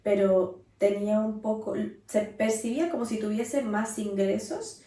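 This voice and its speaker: A woman's voice: mid-range voice, a little slow, warm tone. A woman in her twenties with a Colombian accent, talking casually as a podcast co-host. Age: 20s. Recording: roomy echo, distant from the mic.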